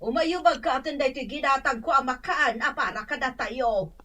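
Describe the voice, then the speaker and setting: A woman's voice: sits high, moderate pace, noisy background, natural voice. A woman with an Ilocano accent playing a well-off, bossy auntie handing out chores in a radio drama. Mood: softer. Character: well-off older auntie giving out household chores.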